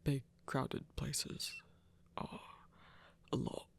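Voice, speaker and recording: A man playing an awkward, protective werewolf hunter who lives rough, speaking hesitantly and breathily in an intimate audio roleplay. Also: very slow, a bit bright. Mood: shy, reflective. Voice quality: breathy, husky. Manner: hesitant, self-effacing, stammering.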